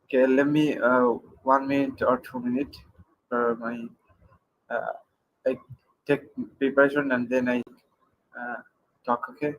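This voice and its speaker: Hesitant caller from Bangladesh. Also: male voice, medium pitch, little pitch movement, measured pace, pauses often, dull audio. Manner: hesitant, many fillers, reads prepared points. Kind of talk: prepared argument in a call-in debate. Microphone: computer mic on a video call.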